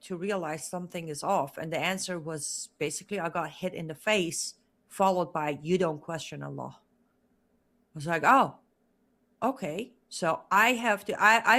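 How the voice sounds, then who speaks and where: A woman's voice: on the low side, a bit bright. Earnest woman recounting her past. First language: Farsi. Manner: earnest, emphatic, repeats words for stress. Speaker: guest. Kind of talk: interview testimony.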